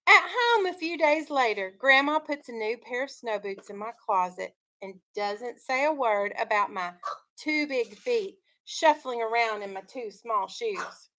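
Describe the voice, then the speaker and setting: Higher-voiced woman teacher reading aloud. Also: expressive, a little slow, sharp tone, rough recording. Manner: instructive, prompts listeners with leading questions. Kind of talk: read-aloud storytelling with questions to pupils.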